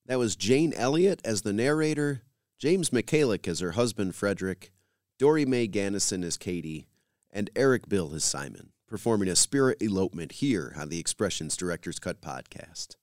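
A man hosting a podcast, reading scripted links in a measured, announcer-like way. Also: fairly low pitch, expressive, a little mellow, no room sound, studio quality. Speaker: podcast host. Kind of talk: scripted podcast host narration.

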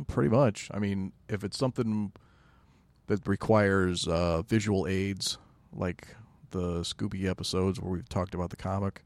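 A man with a lowish voice full of vocal fry. He chats casually as a podcast co-host in an understated, laid-back way, with dry humor. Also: steady tone, a little slow, dry recording. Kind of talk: casual chat.

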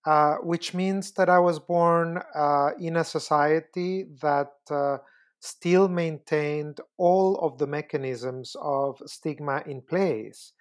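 A man in his fifties from Costa Rica, answering interview questions in a measured, deliberate way with frequent pauses. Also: a little high. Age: 50s. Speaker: guest, UN human rights expert.

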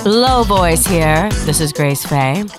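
A woman's voice: low-pitched, expressive, slow pace, over music. A woman with a slightly nasal, congested voice, hosting a casual comedy podcast and rambling through trivia. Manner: chatty, rambling, tells trivia. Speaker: podcast host.

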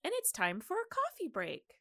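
A woman, probably in her thirties, hosting a podcast in a casual, chatty, animated and giggly way. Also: very high voice, normal speed. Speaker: podcast host.

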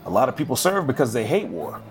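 A man's voice: medium pitch, big pitch swings, fast, natural voice. A man acting a friendly, courteous Civil War era bartender in a period drama, polite and inquisitive, with music underneath. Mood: curious, friendly.

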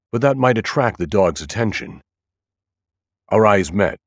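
A man reading scripted first-person thriller narration as an audiobook, with an expressive, dramatic storytelling delivery. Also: sits low, dry recording, studio quality.

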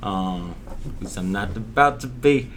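A man's voice: lowish voice, lively intonation, measured pace. A man with a slightly scratchy voice chatting casually on a podcast, rambling with lots of fillers and jokes. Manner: rambling, many fillers, joking. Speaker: podcast co-host. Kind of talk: casual joking chat.

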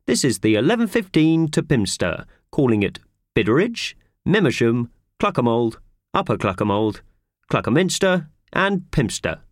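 Loud railway announcer for a storybook, done by a male reader, declamatory, singsong listing. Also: mid-range voice, big pitch swings, moderate pace, dry recording, studio quality, pitched above the performer's own voice, lightly acted. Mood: brisk, loud. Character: loud train announcer reeling off stops and snacks.

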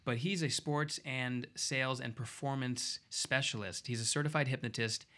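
A man hosts a talk radio show in a medium-pitched voice. He delivers an explanatory introduction straight to listeners, stressing items in lists and pausing between phrases for emphasis. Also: pushed and bright.

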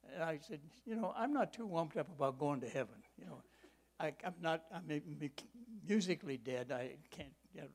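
An elderly man in his eighties delivers a sermon in a slightly raised voice. He leans hard on key words, stretching them out, then slips into quiet, homely asides and personal stories. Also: on the high side. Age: 80s. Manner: folksy personal storytelling. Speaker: preacher.

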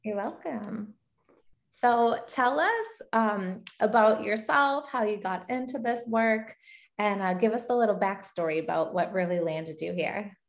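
A woman's voice: medium pitch, unhurried. A woman hosting an interview podcast, introducing her guest and affirming what she says in a friendly way. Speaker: podcast host.

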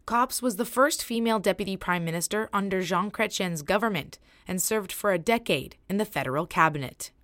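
A woman's voice: medium pitch, brisk, hardly any pauses, fairly bright tone, no echo, clean studio sound. A woman narrating as a podcast host from a script.

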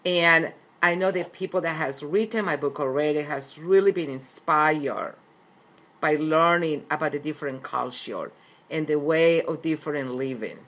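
A woman's voice: deep voice, moderate pace, fairly bright tone. A middle-aged woman from Colombia, speaking earnestly and often repeating herself as an interviewed author. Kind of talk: recorded interview.

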